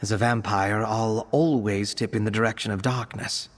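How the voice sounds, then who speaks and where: A man playing an earnest, bookish young male vampire alchemist in a video game, speaking in an articulate, expressive way. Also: on the low side, normal speed, big-room sound, lightly acted. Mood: earnest, reflective. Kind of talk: video game character voice acting.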